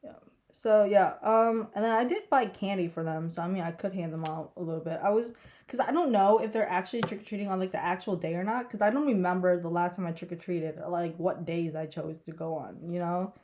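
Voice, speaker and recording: A woman's voice: lowish voice, fairly quick, darkish tone. Rambling, giggly young woman with a stuffy nose. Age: young adult. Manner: rambling, profane, giggly, many fillers. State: congested. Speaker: college student vlogger. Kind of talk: casual get-ready-with-me vlog chat.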